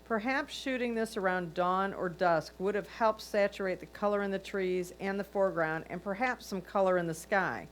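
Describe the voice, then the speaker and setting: A woman's voice: moderate pace, hardly any pauses. Woman reading critique over noisy hum. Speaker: reader of judges' written comments. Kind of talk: reading written critique aloud. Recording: noisy line with low hum.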